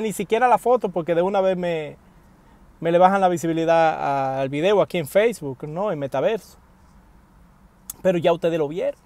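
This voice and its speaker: A man delivers casual news commentary from the driver's seat of a parked car, his voice pitched fairly high. He talks animatedly and appeals straight to his viewers. The sound is dry and close, picked up by a wired earphone mic. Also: no room sound. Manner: animated, addresses viewers directly, emphatic pleas.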